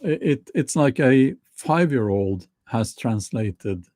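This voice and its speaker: A middle-aged man with a Norwegian accent talking casually to camera, slow and halting with many restarts. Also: medium pitch, animated, darkish tone, no echo, clean studio sound. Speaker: YouTuber.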